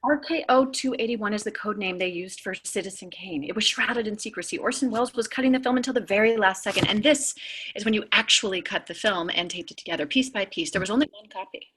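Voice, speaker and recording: A woman's voice: medium pitch, quick pace, husky. A woman playing an eager, knowledgeable historian who is easily starstruck, in a drama table read. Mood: earnest explaining. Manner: explanatory, excitable.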